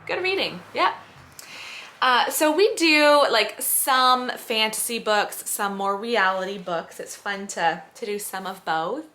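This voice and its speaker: A woman's voice: fairly high pitch, takes it slowly, bright, forceful tone. A woman in her thirties chatting casually as a family vlogger and mother. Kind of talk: casual vlog chat. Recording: clear background noise.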